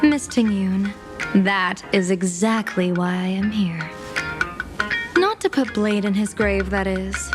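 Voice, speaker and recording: A woman's voice: mid-range voice, slow, over music, natural voice. A woman voicing a playful, scheming female general who is a seer, teasing and laughing often in a game cutscene.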